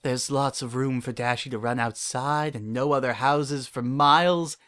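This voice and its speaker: A man's voice: mid-range voice, normal speed, a bit bright, lightly acted. A man playing an unhinged, paranoid male narrator in a horror fan fiction, speaking earnestly in childlike phrases. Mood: hopeful, wistful. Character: unhinged, obsessive, paranoid male narrator clinging to his pet. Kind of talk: first-person dramatic horror fan fiction reading.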